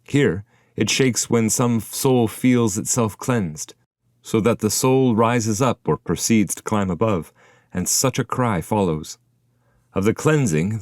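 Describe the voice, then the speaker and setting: A man's voice: lowish voice, a little mellow, no room sound, natural voice. A solemn male shade of an ancient poet speaks in courteous, formal verse, voiced by a male reader. His delivery is declamatory and archaic, recorded dry for an epic poetry audiobook.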